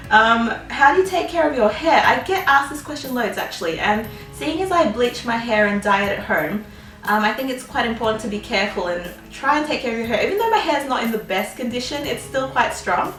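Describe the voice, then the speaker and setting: A woman's voice: on the high side, lively intonation, brisk, a bit bright, echoey room, far from the mic, faint music behind, low-quality recording. A young woman in her twenties, chatting through a casual Q&A with a bubbly, giggly manner and lots of "um"s and "like"s. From Australia. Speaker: vlogger. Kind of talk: casual Q&A chat.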